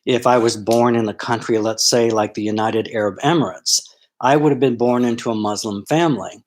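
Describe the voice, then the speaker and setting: A man's voice: mid-range voice, fairly even intonation, fairly quick, a little hiss or hum. A middle-aged man from Florida, a sociology professor, telling a live online audience his story in a candid, rambling way full of ums and restarts. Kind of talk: live-streamed personal talk.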